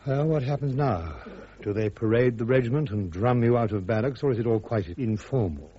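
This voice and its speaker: Radio drama casting: proud, embittered disgraced major, husky, curt and sardonic, muffled sound. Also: male voice, mid-range voice, expressive, a little fast. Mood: bitter. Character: bitter, proud, court-martialled army major.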